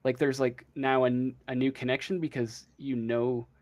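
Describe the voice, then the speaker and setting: A man speaking as game master in casual tabletop game talk, halting and searching for words as he goes. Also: mid-range voice, flat delivery, a little mellow.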